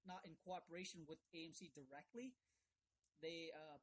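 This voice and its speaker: A man calling a brokerage rep, casually explaining things in wordy, halting stretches and often asking for confirmation. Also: a little high, lively intonation, a little hiss or hum. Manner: wordy, halting explanations with repeated check-in questions. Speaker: caller questioning a brokerage rep. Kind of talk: casual phone conversation, checking his understanding.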